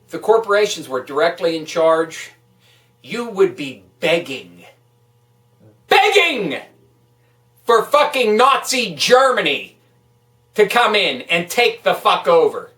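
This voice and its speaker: In a roomy, echoing space a little away from the microphone, a woman rants about politics. Her voice is sharp and forceful, and she speaks slowly for emphasis. She is hectoring and swears freely. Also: lowish voice, big pitch swings. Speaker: political vlogger. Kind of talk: angry political rant. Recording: echoey room, distant microphone.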